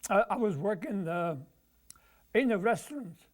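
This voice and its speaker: A man, speaking haltingly in an oral history interview about his life. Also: high-pitched, lively intonation. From Croatia. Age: 90s. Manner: halting, with many pauses and fillers. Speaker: veteran being interviewed.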